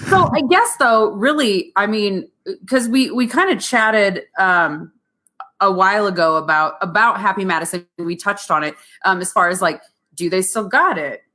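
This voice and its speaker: A woman's voice: medium pitch, moderate pace, sharp tone. A woman hosting a podcast in casual banter, brash and emphatic with a salty tongue. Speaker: podcast host. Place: a friend's house.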